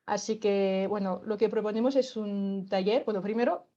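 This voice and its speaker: A woman's voice: mid-range voice, fairly even intonation, normal speed, warm tone, highs cut off. A woman from France speaking with a French accent, patiently explaining a climate workshop as a radio guest, with many filler words and light laughs.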